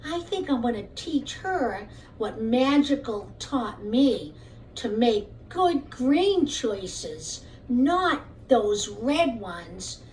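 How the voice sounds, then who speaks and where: A woman's voice: on the high side, big pitch swings, extremely slow, a little mellow, pitched below the performer's own voice, exaggerated voice. A woman voicing an earnest, easily hurt young male frog in a children's puppet show. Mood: hurt, resolute. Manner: plaintive, earnest, simple childlike phrasing. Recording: distant mic with faint background noise.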